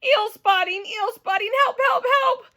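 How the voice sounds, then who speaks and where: Woman acting a high, excitable little storybook girl. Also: moderate pace, vocal fry, full character voice. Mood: panicked. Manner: breathless repetition, blurting, childish phrasing. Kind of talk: children's storybook character voice.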